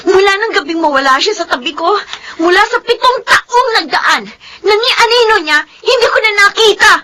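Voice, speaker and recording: An actress playing a bitter, wounded daughter in a melodramatic Filipino radio drama, emphatic and tearful. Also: female voice, very high-pitched, lively intonation, normal speed, background noise. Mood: anguished resentment. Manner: tearful, emphatic, indignant delivery. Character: bitter, wounded daughter Nelly who resents her mother.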